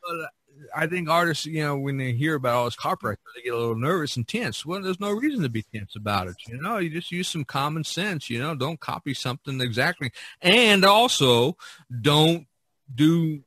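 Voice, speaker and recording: A middle-aged or older man hosting a casual podcast discussion, talking haltingly with lots of repetition. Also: on the high side, animated, bright, forceful tone, dry recording. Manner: halting, repetitive, peppered with 'you know'. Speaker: podcast host.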